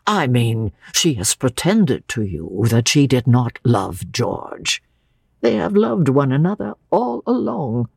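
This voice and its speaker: A woman voicing an earnest old man in a novel, hot-tempered yet tender, speaking with slow, emphatic passion. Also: very deep voice, big pitch swings, vocal fry, a little mellow, slightly rough, no room sound, studio quality, exaggerated voice. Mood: indignant.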